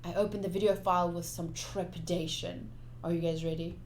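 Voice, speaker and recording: A woman streamer playfully reading visual novel text aloud, with casual asides in an expressive delivery. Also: fairly low pitch, fairly quick, noisy background. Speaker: game streamer. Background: game music.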